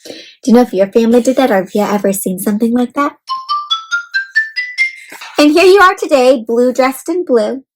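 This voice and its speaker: A woman hosting an interactive read-aloud for children, expressive and encouraging like a teacher, explaining simply and putting questions to her listeners. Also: on the high side, moderate pace, long pauses, soft background music.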